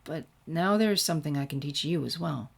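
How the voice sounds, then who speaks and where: A woman's voice: low voice, fairly quick, gravelly, husky, heavily acted. A woman narrator acts out an easygoing male sensei from fan fiction. He is wistful and coaxing as he addresses his student. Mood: soft. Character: wheedling, laid-back male ninja teacher, wistful.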